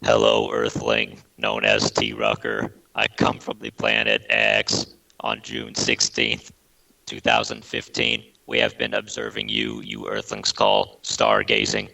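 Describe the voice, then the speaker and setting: A man's voice: unhurried, pushed and bright, heavily acted, rough recording. A man voicing a cold, clinical male space alien in a prank call, with a stiff, formal manner. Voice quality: deep gravelly robotic drone. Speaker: prank caller.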